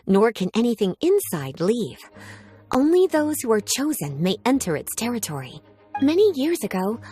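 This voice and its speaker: Genteel librarian, sly teasing, composed, high voice, lively intonation, game character. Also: female voice, husky, full character voice. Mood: storytelling, mysterious. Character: teasing, elegant, unhurried adult woman librarian, doting and sly. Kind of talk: video game character acting.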